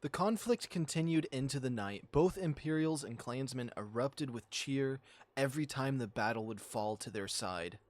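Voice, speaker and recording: A man's voice: mid-range voice, moderate pace. A man reading a visual novel aloud as a channel host, in a storytelling style with casual commentary.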